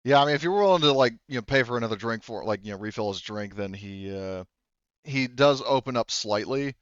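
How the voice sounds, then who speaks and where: Guy gm-ing a tabletop rpg, smooth lowish voice, halting delivery, dry slightly dull recording. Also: no room sound. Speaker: game master. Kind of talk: casual tabletop game narration.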